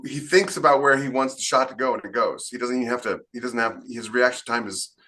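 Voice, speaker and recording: A man's voice: medium pitch, fast, sharp tone. A man co-hosting a podcast in casual chat, informal and emphatic. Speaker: podcast co-host and author.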